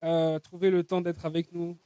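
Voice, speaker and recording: A man hosting a podcast, briskly reading the episode introduction before putting his first question. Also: on the high side, little pitch movement, warm tone, clean tone, no echo. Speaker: podcast host.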